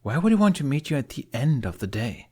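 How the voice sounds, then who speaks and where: A man's voice: mid-range voice, very animated, fairly quick, a little mellow, husky, voice pushed up. A man voicing an irritable, guarded young Viking warrior woman in an audiobook, speaking curtly and with warning. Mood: suspicious. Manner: curt, warning.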